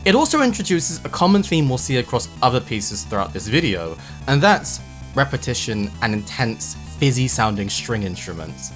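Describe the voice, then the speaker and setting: A man narrating a video essay in an explanatory, measured way with emphatic phrasing and casual asides. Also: medium pitch, very animated, a bit bright. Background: background music.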